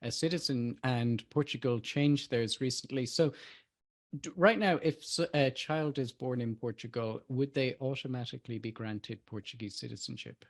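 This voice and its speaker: A man hosting a webinar, asking questions in a relaxed way with many ums and quick acknowledgements over a video call. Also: medium pitch. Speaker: webinar host. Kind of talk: interview questions.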